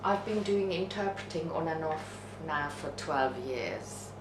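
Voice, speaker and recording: Middle-aged woman, very measured pace, candid reflective talk, hesitant, reverberant room, faint noise. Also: low voice, animated. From outside the UK, non-English-speaking country. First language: not English. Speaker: documentary subject, interpreter. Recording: echoey room with background hiss.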